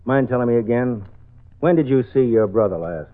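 A man's voice: medium pitch, expressive, fairly quick, natural voice. Man playing a curt old-radio police detective. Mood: official, dry. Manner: curt, official, probing. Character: brisk, businesslike male police detective. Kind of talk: 1940s-50s radio drama acting. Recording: tinny old radio recording, faint music.

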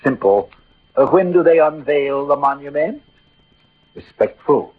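A man's voice: on the high side, lively intonation, unhurried, husky. An actor playing a proud, combative Gascon swordsman, declaiming with mocking wit in a 1940s radio theatre style. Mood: flamboyant, taunting. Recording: muffled tinny old radio recording.

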